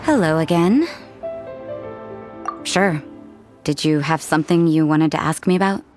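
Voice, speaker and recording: An actress playing a cool, detached young woman in a video game, speaking in a measured, dry, unsentimental way. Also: low-pitched, over music. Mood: reflective. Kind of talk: English video game character dialogue.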